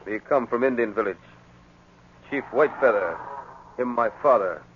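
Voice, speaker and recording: A man's voice: medium pitch, normal speed, close to an everyday voice. An actor playing an earnest, stubborn young man, a chief's son, who speaks terse broken English in 1940s radio style. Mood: earnest, protesting. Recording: narrow, phone-like, noisy 1940s radio recording.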